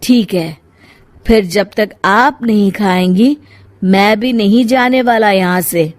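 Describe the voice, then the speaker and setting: Woman acting devoted adult son, coaxing, gentle, insistent, storybook drama. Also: mid-range voice, slow, close to an everyday voice. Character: caring, gently insistent grown stepson.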